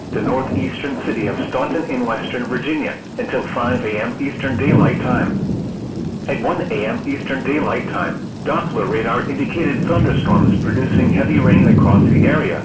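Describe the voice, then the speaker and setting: A man's voice reading a scripted emergency weather bulletin in a formal, clipped, list-like way, as an automated announcer. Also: medium pitch. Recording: echoey, distant, very noisy with storm.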